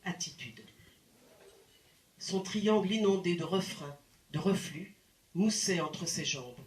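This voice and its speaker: A woman reciting poetry in a deliberate, dramatic manner with expressive phrasing and pauses. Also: lowish voice. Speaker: poet reciting. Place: indoor live poetry evening venue. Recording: roomy live recital sound. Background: plucked guitar music.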